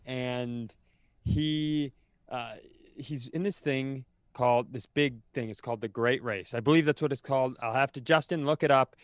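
Man, podcast co-host, clear smooth voice, casual storytelling, rambling and self-interrupting, dry humour, no room echo. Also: mid-range voice, steady tone, normal speed. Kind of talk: casual comedic chat and storytelling.

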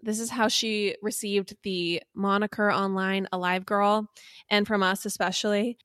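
A woman's voice: mid-range voice, fairly even intonation, measured pace, fairly bright tone, no echo, studio quality. A woman co-hosting a podcast, telling a recap in a conversational, teasing and playfully dramatic way. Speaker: podcast co-host.

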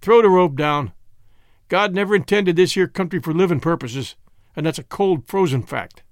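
A man voicing a rough, wry frontier hangman with a folksy, mournful manner, in an audiobook story. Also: sits high, moderate pace, no echo, clean studio sound, close to an everyday voice. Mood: mournful, resigned.

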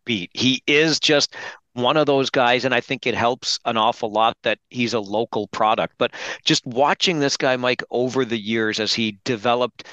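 Bright-voiced male sports broadcaster, dry sound. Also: medium pitch, moderate pace, no echo, lo-fi sound. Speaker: hockey play-by-play broadcaster and guest commentator. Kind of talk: sports podcast interview analysis.

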